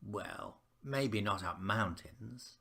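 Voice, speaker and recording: A man reading a children's picture book as a patient, reassuring father, speaking in a gentle, measured way. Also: lowish voice, vocal fry, slightly rough, heavily acted.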